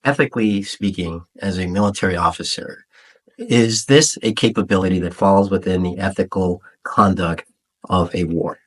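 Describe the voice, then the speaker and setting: A man's voice: low-pitched, moderate pace, breathy edge, low-quality recording. A man asking a formal question as a reporter at a press briefing.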